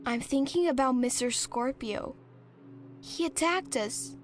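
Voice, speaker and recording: A woman's voice: high-pitched, measured pace, over music. A woman playing a small, anxious young girl hero in a space audio drama, guileless and plaintive. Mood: worried.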